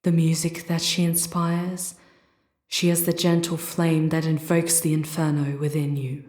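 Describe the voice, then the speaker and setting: A woman voicing a charming, protective female demon in an ASMR roleplay, speaking theatrically and intensely in hushed, drawn-out phrases that often slip toward a whisper. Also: deep voice, little pitch movement, no room sound, clean studio sound. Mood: tender, coaxing.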